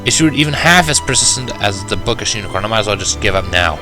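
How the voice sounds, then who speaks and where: A man narrating a first-person fan fiction story in an expressive, wry, dramatic manner. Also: lowish voice, quick pace, music in the background. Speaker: audiobook narrator.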